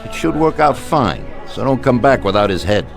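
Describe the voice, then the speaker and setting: Blunt, commanding mob boss. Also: male voice, medium pitch, big pitch swings, vocal fry, soft background music. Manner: authoritative, blunt, measured. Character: ruthless, commanding male mob boss ordering a hit. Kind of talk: video game voice acting.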